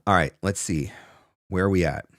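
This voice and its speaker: A man's voice: low voice, moderate pace, fairly bright tone, dry recording. A man in his forties hosting a casual advice livestream as a coach, conversational and emphatic, repeating phrases for stress, close on a desk mic in a home studio. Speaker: host and voice-over coach. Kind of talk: casual livestream advice, answering viewer questions. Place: small home studio, indoors. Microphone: close desk microphone on a stand.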